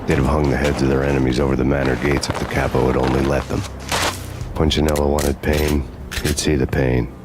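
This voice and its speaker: An actor playing a cynical, hardboiled ex-cop in a game, speaking deadpan with dry sarcastic wit. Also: male voice, a bass voice, fairly quick, heavy background noise. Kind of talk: noir game narration and dialogue. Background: music.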